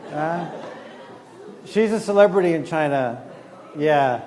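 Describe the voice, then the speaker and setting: A man giving a conversational talk at a conference, relaxed and chatty with frequent restarts. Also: fairly high pitch, slow, some chatter behind. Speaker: conference speaker. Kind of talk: conversational lecture. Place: conference hall. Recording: reverberant hall with faint noise.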